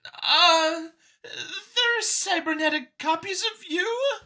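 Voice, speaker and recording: A man's voice: very high-pitched, drawn-out words, bright, forceful tone, voice pushed up, full character voice. A man voicing a timid, nervous female mouse alien scientist from an audio story, stammering and hesitant. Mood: frightened, pleading.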